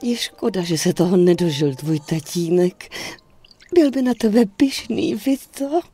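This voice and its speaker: An actress playing an anxious, doting middle-aged Italian mother in a dubbed game, soft, husky and emotional. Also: fairly low pitch, lively intonation, dry recording, soft background music. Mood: tender, worried. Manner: tender, emotional, fussing. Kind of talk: dubbed video game cutscene acting.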